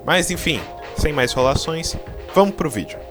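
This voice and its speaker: A man's voice: a little high, lively intonation, normal speed. Brazilian man narrating casually over music. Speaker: video creator and narrator. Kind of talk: casual explainer commentary. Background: background music.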